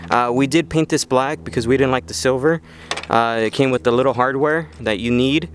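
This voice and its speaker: A man's voice: mid-range voice, fairly quick, slight background noise. A man hosting an informal how-to video, explaining things step by step in a casual way. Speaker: video host.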